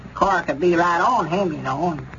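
An actor playing a gullible old country storekeeper with a rural Southern American accent, rambling and repeating himself in 1930s radio comedy style. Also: male voice, on the high side, moderate pace, a bit bright. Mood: earnest, chatty. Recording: dull, noisy 1930s radio recording.

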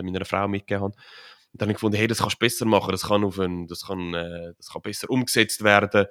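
Swiss German man, low voice, relaxed rambling guest explaining at length with lots of fillers. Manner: rambling, many fillers and tag questions. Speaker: podcast guest, author and crypto consultant. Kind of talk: casual conversational interview.

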